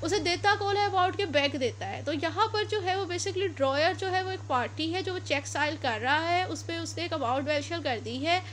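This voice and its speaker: A woman's voice: very high-pitched, normal speed, hardly any pauses, fairly bright tone, a little hiss or hum. A woman giving a law lecture, explaining step by step and often stopping to correct herself. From Pakistan.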